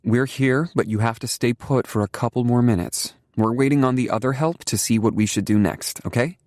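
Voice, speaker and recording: A man's voice: on the low side, moderate pace, a little mellow, no room sound, clean studio sound, pitched above the performer's own voice, natural voice. A man voicing a determined, protective adult woman in an audiobook, soothing and firm under pressure. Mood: soothing, urgent. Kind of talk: audiobook character voice.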